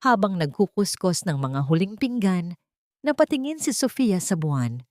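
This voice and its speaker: A woman's voice: medium pitch, sing-song, normal speed, darkish tone, no echo, clean studio sound. A woman narrator reading a scripted dramatic story for an audio drama.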